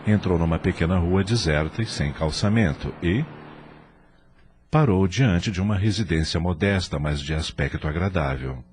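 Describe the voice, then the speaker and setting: A man narrating an audio drama from a script in an expressive, literary storytelling manner. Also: low-pitched, normal speed, a little hiss or hum.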